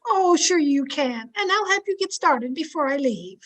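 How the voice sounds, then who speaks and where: A woman's voice: sits high, expressive, moderate pace, a bit bright. A woman playing a supportive, chirpy housewife best friend in an old-time radio sitcom, coaxing and wry in a girlish way. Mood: encouraging. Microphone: home microphone over video call.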